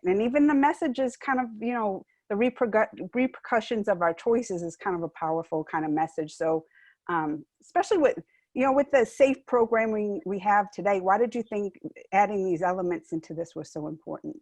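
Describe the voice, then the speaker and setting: Woman interviewer, halting fillers, medium pitch, dry sound. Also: expressive, darkish tone, dry recording. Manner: halting, many "you know" fillers. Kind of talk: press junket interview.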